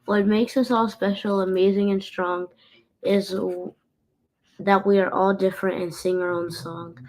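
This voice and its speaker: A woman co-reading a picture book aloud carefully, halting now and then and repeating phrases. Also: mid-range voice, steady tone, darkish tone, poor audio.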